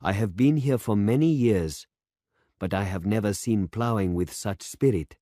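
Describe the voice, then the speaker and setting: A man reading aloud and voicing an elderly male village pastor who speaks slowly and solemnly. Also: on the low side, mellow, dry recording, studio quality, lightly acted. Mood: earnest. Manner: slow and solemn.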